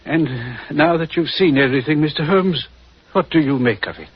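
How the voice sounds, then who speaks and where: A man's voice: on the high side, normal speed, slightly rough. A man playing a modest, anxious country rector, formal and hesitant, in 1940s radio style. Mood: modest, courteous. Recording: dull, noisy 1940s radio recording.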